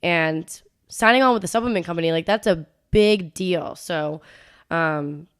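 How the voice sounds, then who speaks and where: Smooth low-voiced congested young woman. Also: measured pace. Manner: chatty storytelling, frequent "like". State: congested, stuffy nose. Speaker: podcast co-host. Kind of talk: casual podcast chat.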